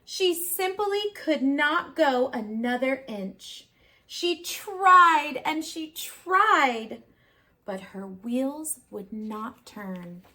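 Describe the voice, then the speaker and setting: Woman, preschool read-aloud, high voice, very varied intonation, slow, simple questions to children. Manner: expressive, simple child-directed phrasing. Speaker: preschool teacher reading aloud.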